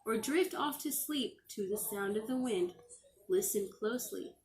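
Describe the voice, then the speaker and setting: Slow-paced woman reading a children's storybook. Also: medium pitch, expressive. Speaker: teacher reading aloud. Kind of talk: expressive storybook read-aloud for young children.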